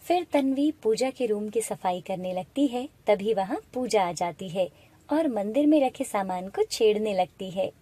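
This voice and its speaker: A woman reading scripted narration for an animated moral story as its storyteller. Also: mid-range voice, a little slow.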